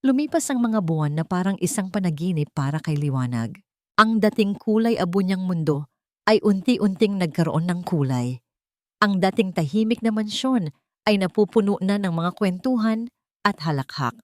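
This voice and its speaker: A woman with a Filipino accent narrating a romance drama in an emotive, expressive storytelling manner. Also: medium pitch, moderate pace, dry recording.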